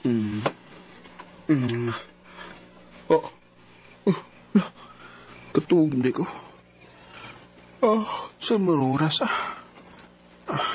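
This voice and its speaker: A man with a Cebuano accent acting a trusting, questioning young boyfriend who can turn suspicious, in a radio drama. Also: mid-range voice. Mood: drowsy. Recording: faint background noise.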